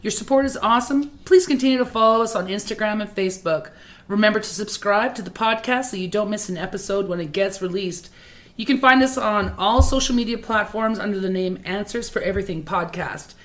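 A woman's voice: medium pitch, brisk. A woman announcer reading scripted housekeeping for a podcast. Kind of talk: scripted podcast intro narration.

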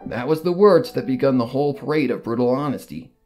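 A man's voice: mid-range voice, lively intonation, fast talker, a little mellow. A man reading a first-person fan fiction story aloud in an emotive, theatrical way. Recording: music under the voice.

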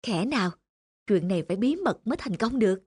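A woman playing a kind, patient schoolteacher in an animated moral tale, gently instructive. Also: medium pitch, brisk, breathy edge, dry recording, clean studio sound. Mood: reassuring. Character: kind, patient female schoolteacher guiding her pupils. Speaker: schoolteacher character. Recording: music behind the voice.

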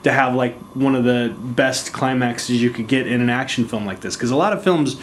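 A man's voice: mid-range voice, normal speed, slight background noise. Enthusiastic casual male interviewer. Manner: enthusiastic, casual, rambling questions. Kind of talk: press junket interview.

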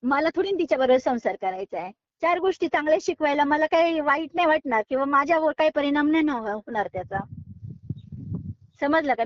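High-pitched woman, firm on the phone. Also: no room sound. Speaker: online recipe video creator receiving a prank call. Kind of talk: unscripted phone conversation. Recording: dull, lo-fi phone call audio.

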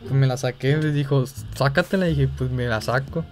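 A young man with a Mexican accent speaks in a casual, halting way, as if embarrassed to get to the point. Music plays clearly behind his voice. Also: medium pitch, darkish tone. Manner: hesitant, halting, feigning embarrassment. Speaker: gaming video creator making a prank call. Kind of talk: casual phone chat.

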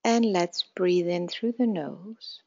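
A woman leading a guided meditation in a calm, measured way, with short instructions and soft phrase endings. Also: fairly low pitch, muffled recording. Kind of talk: guided meditation, slow spoken instructions with long pauses.

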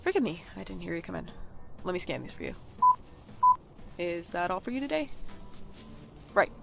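A woman's voice: fairly low pitch, very animated, normal speed, vocal fry, faint music behind. A woman playing a wry, hapless twenty-five-year-old in an audio drama, self-deprecating, with dry asides and an anxious streak. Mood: polite. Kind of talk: audio drama narration and dialogue.

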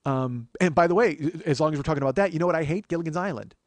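A man's voice: a little high, very fast, no echo. A middle-aged man hosting a comedy podcast, rambling casually and profanely, interrupting himself with digressions and getting worked up. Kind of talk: rambling comic monologue, casual chat.

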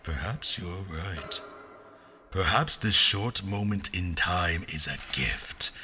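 A slow, swooping, grandiose brooder. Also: male voice, a little high, background noise. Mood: troubled, musing. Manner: theatrical, halting, archaic speech. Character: brooding, grandiose man, weary and bitter, musing alone. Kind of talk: dramatic monologue in fantasy audio drama.

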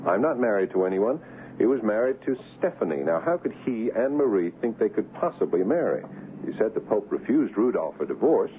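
An actor playing a skeptical, wry young fiancé in a 1970s radio drama. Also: male voice, fairly low pitch, a little fast, narrow, tinny audio. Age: young adult. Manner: incredulous, wry, animated. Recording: thin band-limited old radio broadcast, faint noise.

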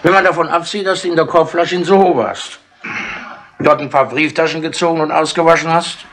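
An actor playing a shrewd, patronising older London chief inspector in a wry, colloquial way, in 1960s radio drama style. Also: male voice, on the high side, steady tone, fairly quick, fairly bright tone. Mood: wry, businesslike. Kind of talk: 1960s German radio crime drama acting. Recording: noisy 1960s radio tape with clicks.

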